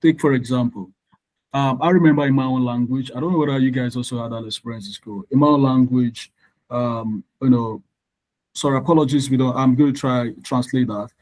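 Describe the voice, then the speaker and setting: A man's voice: mid-range voice, fairly even intonation, brisk, a little mellow, rough recording. A man from Nigeria whose first language is Yoruba, teaching an online exam-prep lesson, explaining patiently and spelling words out letter by letter. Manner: frequent "all right" checks with learners. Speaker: tutor.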